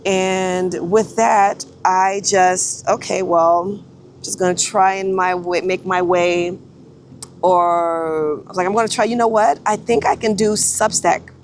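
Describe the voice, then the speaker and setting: A woman's voice: on the low side, steady tone, slow pace, a little hiss or hum. Chatty woman in her forties. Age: 40s. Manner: chatty, self-correcting, frequent restarts. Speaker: photographer and vlogger. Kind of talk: casual talking-head vlog.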